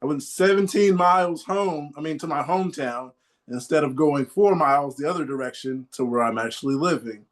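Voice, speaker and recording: A man's voice: fairly high pitch, fairly quick. A man in his thirties telling personal stories in casual podcast chat, with rambling quoted asides. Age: 30s. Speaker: podcast host.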